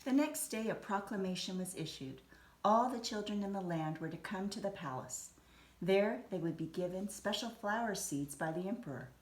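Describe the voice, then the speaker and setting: A woman reading a children's story aloud in an expressive, deliberate storytelling manner. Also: mid-range voice. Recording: roomy echo, some background noise.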